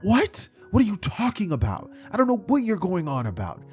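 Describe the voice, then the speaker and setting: Guy putting on a whiny young ninja boy, hurt and pleading, pitched way up, soft mellow tone. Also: normal speed, faint music behind. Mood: upset pleading.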